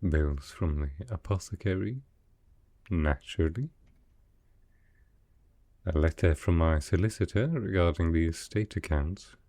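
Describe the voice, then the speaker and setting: A man performs a sickly, melancholy male aristocrat in an intimate audio drama. The voice is very deep and dark, recorded dry and close. He speaks slowly and softly, with formal, proud reserve. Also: no echo. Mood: dry, resigned. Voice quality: low, soft, with a cough. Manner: formal, measured, dryly reserved. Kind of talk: roleplay ASMR audio drama.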